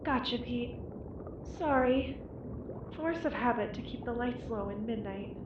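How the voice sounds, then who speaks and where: An actress playing an uneasy deep-sea submersible crewmate in an analog horror drama, with a measured, hesitant delivery. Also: female voice, close to an everyday voice. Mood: soft, wary. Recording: dull, echoey, clear background noise.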